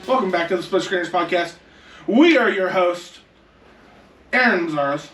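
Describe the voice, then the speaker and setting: A man's voice: high-pitched, lively intonation, moderate pace, loud music behind the voice. A young man co-hosting a casual gaming podcast, upbeat and leading the talk with questions. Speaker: podcast co-host. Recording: roomy echo, distant mic, faint noise.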